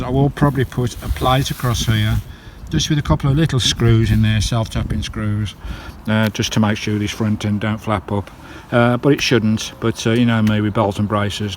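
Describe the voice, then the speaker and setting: Chatty British man over loud windy noise. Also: fairly low pitch, steady tone, moderate pace, few pauses. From United Kingdom. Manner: chatty, informal, often addressing viewers as guys. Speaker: vlogger. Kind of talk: casual hands-on vlog narration. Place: outdoors on and around a campervan. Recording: windy handheld recording with loud background noise. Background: wind and loud noise.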